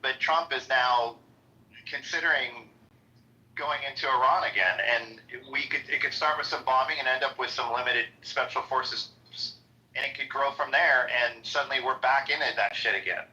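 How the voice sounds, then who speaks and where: A man with a high, nasal voice talking casually, stumbling over restarts and turning blunt when he gets heated. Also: normal speed, sharp tone. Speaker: room host. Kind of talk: casual conversation. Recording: dull lo-fi audio.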